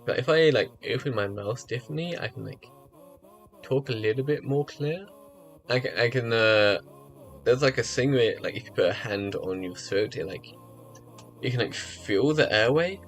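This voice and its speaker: A man chatting casually on a live stream, rambling with lots of fillers and restarts, his voice a little rough as if from early mornings. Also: mid-range voice, normal speed. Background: faint music.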